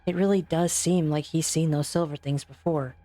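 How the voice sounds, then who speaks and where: A woman's voice: low voice, fairly even intonation, moderate pace, mellow, faint music behind, natural voice. A woman voicing a grave, shrewd, suspicious doctor in a game fan dub. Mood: grave, wary.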